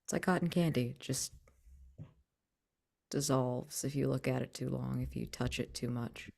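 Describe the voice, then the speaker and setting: A woman playing a gentle, earnest young man in a tabletop game, hesitant and thoughtful, nervously polite. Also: a bass voice, normal speed, gravelly, full character voice. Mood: thoughtful, reassuring. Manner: hesitant, thoughtful, with false starts. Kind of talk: in-character tabletop roleplay.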